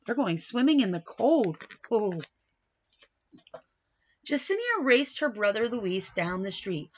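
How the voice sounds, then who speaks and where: A woman reading a picture book to young children like a teacher, pausing to explain and put questions to her listeners. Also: fairly high pitch, very animated, muffled recording. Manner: instructive, questioning, addresses young listeners directly.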